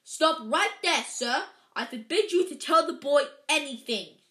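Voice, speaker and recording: A woman voicing a stern, bossy middle-aged man from a storybook, with a sharp, commanding manner. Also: fairly high pitch, normal speed, lightly acted. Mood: commanding, panicked. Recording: echoey, roomy sound.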